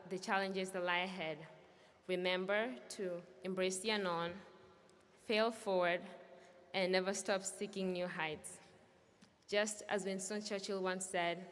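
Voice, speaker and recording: A young woman whose first language is Swahili gives an earnest, measured graduation speech. Her voice sits in a middle range, and it rings with long reverb in a large hall. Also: medium pitch, fairly bright tone. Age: young adult. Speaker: student speaker. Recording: echoey hall from far off.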